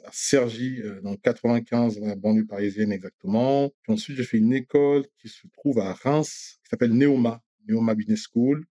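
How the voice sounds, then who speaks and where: A man in his thirties from Rwanda, talking as an interview guest, hesitant with many pauses and restarts. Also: mid-range voice, mellow, no echo. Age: 30s. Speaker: guest, company director. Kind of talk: casual interview chat.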